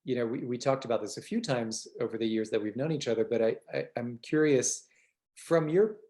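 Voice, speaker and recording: A man speaks as a podcast co-host in a conversational register. He feels his way through his thoughts, pausing and circling back as he frames things. His pitch sits in an ordinary middle range for a man. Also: dark tone. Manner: halting, searching for words, circling back.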